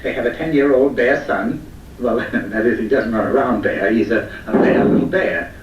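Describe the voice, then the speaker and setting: Casting: male actor as droll fairy-tale cartoon narrator, mock-formal, mid-pitched, heard through dull, noisy playback. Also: moderate pace, distant mic, close to an everyday voice. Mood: wry, mock-formal. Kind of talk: scripted comic cartoon narration. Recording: muffled, noisy, roomy playback from a video.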